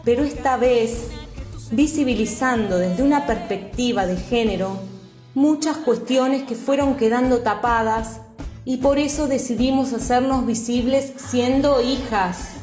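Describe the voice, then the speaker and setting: A woman's voice: on the high side, unhurried, darkish tone. An Argentine woman activist reading a scripted declaration in a solemn, declamatory manner. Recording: roomy echo, music behind.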